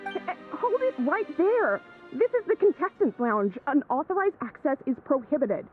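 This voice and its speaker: A strict young tournament staffer from a video game, speaks in a high, animated voice. She is formal and firm, with faint music behind her. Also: moderate pace, narrow, tinny audio.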